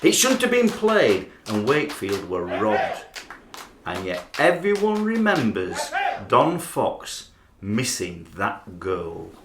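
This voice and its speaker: Bloke acting rugby obsessive, dramatic aggrieved, voice up and down, bit echoey. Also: male voice, fairly low pitch, wildly swooping pitch, slow, exaggerated voice. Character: earnest, obsessive male rugby league fan, trainee social worker. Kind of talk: radio play monologue and dialogue. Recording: roomy amateur recording, faint background noise.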